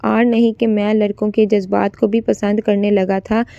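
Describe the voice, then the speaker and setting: A woman's voice: mid-range voice, flat delivery, normal speed, vocal fry, mellow, no echo, lo-fi sound. A woman narrating a scripted first-person story, occasionally stumbling as she reads.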